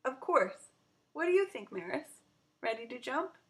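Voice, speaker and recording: A woman's voice: high voice, very animated, measured pace, pitched above the performer's own voice. A woman reading the part of a playful, reassuring mother in a children's story, encouraging and teasing. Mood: cheerful.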